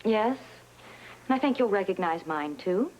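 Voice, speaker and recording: A woman's voice: mid-range voice, expressive, unhurried, darkish tone, natural voice, low-quality recording. An actress playing a confident businesswoman who speaks in a cool, candid, amused way. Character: poised, confident woman business owner. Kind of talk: 1980s TV soap acting.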